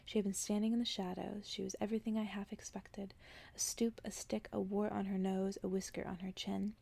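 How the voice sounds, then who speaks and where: A woman reading a story aloud in a soft, breathy ASMR whisper, hushed and gentle. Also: medium pitch, level tone, brisk.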